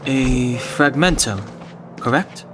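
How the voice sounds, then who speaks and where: Man as a slow, serious young traveler. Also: mid-range voice, over music, close to an everyday voice. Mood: calm, serious. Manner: terse, matter-of-fact. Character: serious, reserved, analytical young male traveler. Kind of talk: video game cutscene voice acting.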